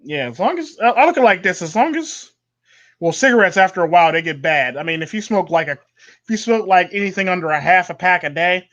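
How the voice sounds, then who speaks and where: A man's voice: high voice, expressive, a little fast, fairly bright tone. A man hosting a livestream in casual chat, opinionated, rambling on in long runs and laughing easily. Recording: dull lo-fi livestream audio.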